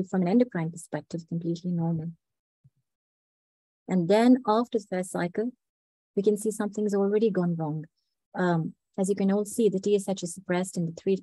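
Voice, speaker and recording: A woman with a hoarse, mellow voice gives a methodical medical talk over a laptop mic on a video call. She speaks steadily, pausing often with small fillers. The sound is dry and close. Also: medium pitch, no echo, low-quality recording. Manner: measured, methodical, frequent "uh" fillers. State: hoarse, recovering from flu. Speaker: clinical research fellow presenting a case. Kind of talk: online medical lecture.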